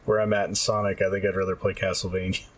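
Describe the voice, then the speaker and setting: A man co-hosting a casual video game podcast, talking in a halting, thinking-aloud way. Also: sits low, flat delivery, a little hiss or hum. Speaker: podcast co-host. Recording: slightly distant from the mic.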